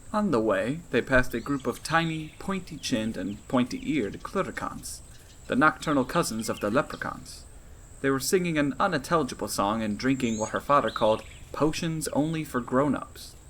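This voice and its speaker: A man's voice: medium pitch, fairly bright tone, a little hiss or hum. A man reading his own fantasy novel aloud as scripted audiobook narration.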